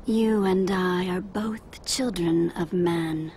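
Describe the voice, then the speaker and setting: A woman's voice: fairly low pitch, takes it slowly, darkish tone, slight background noise. A woman voicing a menacing, prophetic zealot in a solemn, declamatory way for a dramatic video game. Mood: solemn menace.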